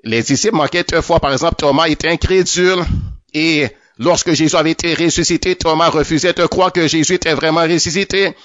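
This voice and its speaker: A man with a fairly high voice gives a religious testimony in a plain, unscripted way, often stumbling and saying words twice before he goes on. The recording is dry and close, with a dull, lo-fi sound. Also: fairly even intonation, fairly bright tone, no echo. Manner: repeats words often, halting restarts.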